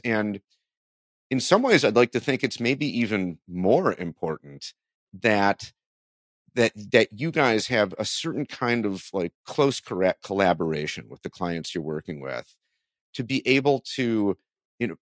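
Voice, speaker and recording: A man's voice: fairly low pitch, no room sound, clean studio sound. A man hosting an interview podcast in a conversational way, thinking aloud and rephrasing his questions as he goes.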